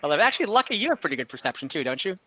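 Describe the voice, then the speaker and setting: A man's voice: a little high, sing-song, rapid-fire, pushed and bright, dull audio. A man narrating an improvised tabletop game casually as game master, thinking aloud and correcting himself, on a close mic over an online call.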